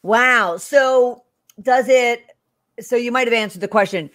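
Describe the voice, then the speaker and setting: A woman's voice: a little high, measured pace, fairly bright tone. An older woman hosting an interview from her living room couch, talking into a desk mic over a video call. She is effusive and upbeat, piling on praise and eager questions. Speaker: show host.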